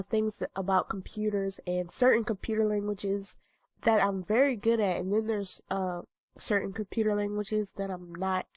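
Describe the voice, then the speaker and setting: A woman's voice: medium pitch, darkish tone, no room sound. A young woman in her twenties with a stuffy, congested nose, chatting casually to her viewers with lots of ums and uhs. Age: 20s. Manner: rambling, many ums and uhs. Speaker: vlogger. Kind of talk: casual talking-head vlog.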